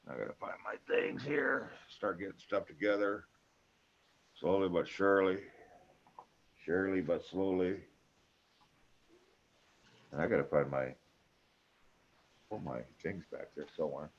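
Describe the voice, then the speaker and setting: Deep-voiced man, trucker type, rambling folksy chat, dull lo-fi stream sound. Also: unhurried, gravelly, pauses often, husky. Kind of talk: casual chat.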